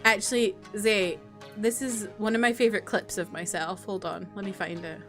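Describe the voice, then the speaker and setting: A woman's voice: medium pitch, steady tone, moderate pace, over music. A woman streamer chatting casually about a game and laughing at her own voice acting. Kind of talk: casual gameplay chat.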